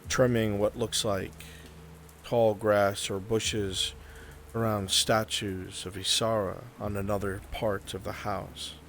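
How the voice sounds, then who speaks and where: A man with a deep voice narrates a roleplay game as its game master. He speaks very slowly and deliberately, leaving pauses between descriptive phrases. Rain ambience and faint noise sit behind the voice. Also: flat delivery, a bit bright. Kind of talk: slow atmospheric narration of a live roleplay game.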